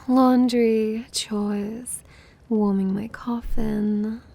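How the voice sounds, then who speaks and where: A woman's voice: on the high side, steady tone, very slow, vocal fry, dark tone, exaggerated voice. A woman playing a playful, smug and possessive vampiress in an ASMR roleplay, with a dramatic, coy delivery. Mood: commanding, teasing.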